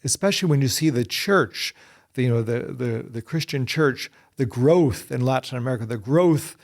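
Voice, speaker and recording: Man, middle-aged, gravelly, earnest, halting restarts, interview guest. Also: medium pitch, expressive, a little mellow. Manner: earnest, frequent restarts and repeated words. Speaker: guest, Bible society secretary. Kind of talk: conversational interview answers.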